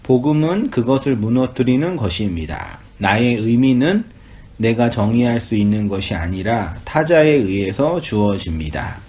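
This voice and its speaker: A man, a pastor, leading a small-group Bible study in a relaxed, chatty way, asking the group questions and laughing easily. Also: medium pitch, normal speed. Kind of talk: casual Bible study teaching.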